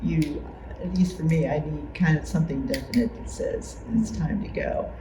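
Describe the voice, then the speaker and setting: A woman's voice: low-pitched, normal speed. A woman reminiscing casually in an interview, in a hesitant, conversational way. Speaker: longtime church member. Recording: echoey room with clear background noise.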